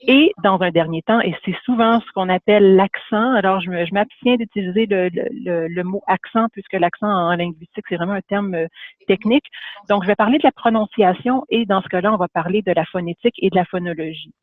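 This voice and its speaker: A man's voice: sits high, a little fast, no room sound. A man with a Canadian French accent giving a webinar lecture, hesitant, with many fillers and restarts. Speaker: webinar presenter. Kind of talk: lecture for an online audience. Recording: phone-like dry webinar audio.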